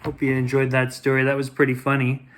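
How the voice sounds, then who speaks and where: A man's voice: medium pitch, a little fast, noisy background. A man hosting a bedtime story, reading to children expressively and playfully. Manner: expressive, with playful repeated sound effects.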